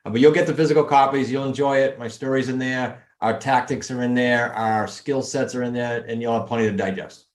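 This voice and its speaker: Man, New England accent, podcast guest pitching his program; roomy echo on the voice. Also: fairly quick, few pauses. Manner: energetic, pitching steps and links. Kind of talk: casual interview talk. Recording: echoey room.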